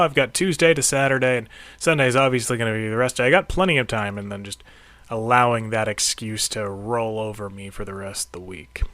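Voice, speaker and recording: A man's voice: mid-range voice, bright, forceful tone, no room sound. A man talking off the cuff in a casual, reflective audio diary, with an encouraging manner. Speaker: host of a karate training audio log.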